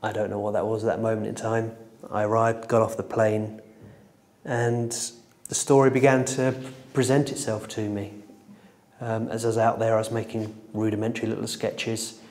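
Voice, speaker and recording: A man's voice: on the low side, flat delivery, moderate pace, darkish tone. A man talking reflectively in an interview about his own creative work as a graphic novelist. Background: faint music.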